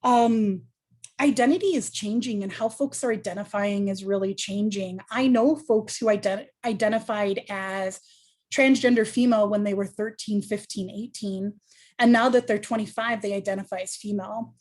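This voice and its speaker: A woman's voice: mid-range voice, fairly even intonation, moderate pace, darkish tone. A woman speaks as a panelist on a video call, earnest and reflective. She thinks aloud, leaning on ums and restarting her sentences. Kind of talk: panel discussion answer.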